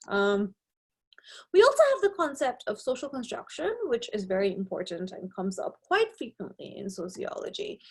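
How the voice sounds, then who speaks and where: A young woman from Nepal, with English as her second language, explaining sociology concepts in a recorded slide lecture and often checking in with "right?". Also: mid-range voice. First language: not English. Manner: explanatory, frequent tag "right?". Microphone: webcam on a video lecture.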